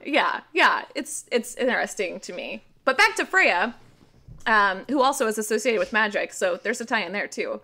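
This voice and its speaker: Bright-voiced American woman telling stories casually. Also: mid-range voice, moderate pace. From rural Missouri, United States. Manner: informal, animated, frequent "like", sweary. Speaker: podcast guest. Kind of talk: casual conversational storytelling and explaining.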